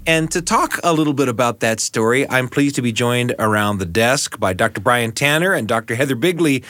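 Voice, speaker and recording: A middle-aged American man hosting a radio show and telling personal stories in measured, deliberate phrasing. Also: mid-range voice, animated, a bit bright, no room sound. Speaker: radio host and storyteller. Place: dry radio studio.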